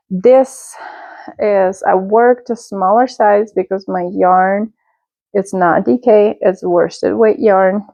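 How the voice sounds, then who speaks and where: Woman host, slow and chatty, soft dark tone, lo-fi dry home recording. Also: mid-range voice, vocal fry, no room sound. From Europe. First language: Czech. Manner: slow, unhurried, chatty with small self-corrections. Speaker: knitting podcast host. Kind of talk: casual show-and-tell chat. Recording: slightly dull, dry lo-fi sound.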